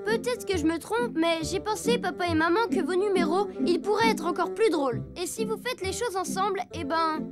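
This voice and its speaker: A woman's voice: very high-pitched, a little fast, few pauses, music in the background. A woman voicing a clever young elephant girl in a cartoon, earnest and determined.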